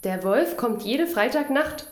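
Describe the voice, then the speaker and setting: Radio play role: guarded, matter-of-fact woman night worker played by an actress, evasive, slightly high voice, roomy recording. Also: animated, measured pace. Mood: subdued. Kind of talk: radio crime play acting.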